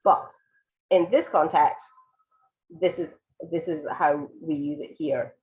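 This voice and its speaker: Croaky low-voiced woman with a cold. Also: steady tone, takes it slowly, darkish tone, poor audio. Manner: explains patiently with many ums and restarts. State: has a cold, croaky and congested. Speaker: IELTS writing teacher. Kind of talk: live online lesson, answering viewer questions.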